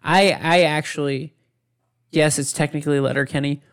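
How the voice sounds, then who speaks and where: A man's voice: on the high side, steady tone, moderate pace, gravelly, clear, resonant tone. A young adult man chatting casually as a podcast co-host. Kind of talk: casual chat.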